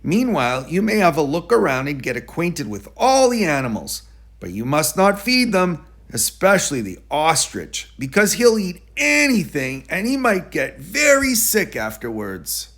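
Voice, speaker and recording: A man's voice: fairly high pitch, big pitch swings, a little slow, fairly bright tone, full character voice. A man voicing a bossy, stern but fair show director in a children's storybook, speaking with instructive authority.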